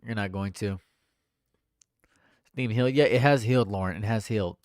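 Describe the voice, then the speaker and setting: Stuffy-nosed young man, dry deadpan. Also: fairly low pitch, moderate pace, no room sound. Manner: dry and deadpan, laughs at own jokes. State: stuffed up nose. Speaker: livestream host. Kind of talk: casual chat reading viewer comments. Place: home room.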